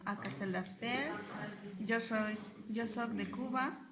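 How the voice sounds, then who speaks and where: Lady, slow halting student intro, people chatting behind. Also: on the high side, a crowd in the background. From Bolivia. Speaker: adult language student.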